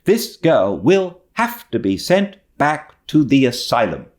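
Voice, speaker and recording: A man voicing a stern, sharp-tongued older spinster, brisk and disapproving, in an audiobook story. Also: mid-range voice, very animated, voice pushed up. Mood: wrathful. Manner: brisk, scolding.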